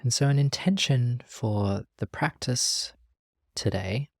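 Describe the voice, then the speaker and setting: A meditation teacher leading a guided practice in a gentle, calm, measured way with long pauses. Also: mid-range voice, vocal fry, no echo, studio quality.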